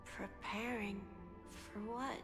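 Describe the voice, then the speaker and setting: A woman voicing an innocent, solemn young child in a video game, tender and caring, with a soft, hesitant, earnest delivery. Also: mid-range voice, fairly even intonation, takes it slowly, over loud music, natural voice. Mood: plaintive, questioning. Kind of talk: dramatic video game voice acting.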